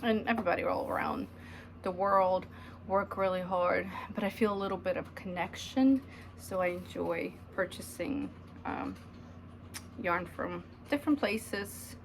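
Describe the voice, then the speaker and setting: A woman's voice: mid-range voice, slight background noise. A woman in her thirties, from Ukraine, chatting casually at home about her knitting, rambling with many ums. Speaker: knitting vlogger. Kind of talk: casual chat to camera. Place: home, indoors.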